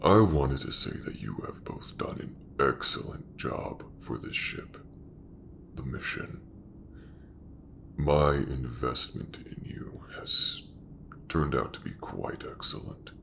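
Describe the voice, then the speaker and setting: A man playing a calm, shrewd, commanding ship captain in a sci-fi audio roleplay, with a very gravelly voice and measured, businesslike delivery. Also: rumbling low, slight background noise, full character voice.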